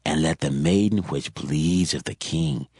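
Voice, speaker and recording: Very deep husky actor as a royal counsellor. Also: male voice, darkish tone, no echo, studio quality, full character voice. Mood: solemn counsel. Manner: measured, formal, archaic phrasing. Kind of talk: dramatized scripture reading.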